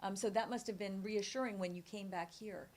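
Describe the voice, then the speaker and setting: A woman moderating a book launch, asking probing questions in a soft-spoken, conversational way. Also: mid-range voice, steady tone, fairly quick. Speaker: interviewer moderator. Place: press club event room.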